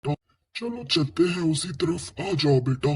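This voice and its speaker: A man playing a patient, practical father in a children's cartoon, giving gentle instructions in a rough voice. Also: on the high side, lively intonation, a little slow, exaggerated voice. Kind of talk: Hindi children's cartoon dialogue. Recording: music under the voice.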